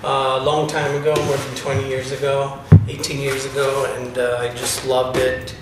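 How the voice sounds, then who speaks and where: A man's voice: medium pitch, completely flat delivery, moderate pace. A man from Italy who teaches drumming, explaining things casually with many fillers. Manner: chatty, explanatory, lots of fillers. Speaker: drum teacher. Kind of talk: casual interview answers. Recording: echoey room, distant mic, noisy.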